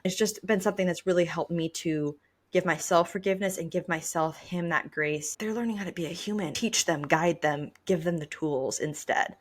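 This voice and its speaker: A woman's voice: lowish voice, moderate pace. A young adult woman co-hosting a podcast, giving advice in a casual, emphatic way. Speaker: podcast co-host.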